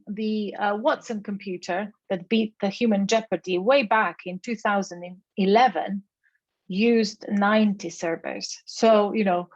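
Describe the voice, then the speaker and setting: A woman's voice: mid-range voice, dry recording, dull audio. A woman, a native Slovenian speaker with a Slovenian accent, gives an academic keynote lecture in an explanatory manner, posing rhetorical questions.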